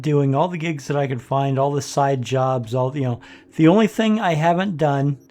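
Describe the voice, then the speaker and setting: A man's voice: fairly high pitch, darkish tone. Congested older man rambling over gameplay. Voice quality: rambling, halting with many fillers. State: congested, rough voice. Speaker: let's play host. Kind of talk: casual gameplay commentary. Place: home office.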